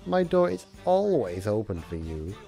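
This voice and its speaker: A man's voice: on the low side, big pitch swings, moderate pace, vocal fry, dark tone, faint music behind. A man voicing a smug, gloating, mischievous god of chaos in a cartoon fan story, with a theatrical, taunting delivery. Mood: falsely warm.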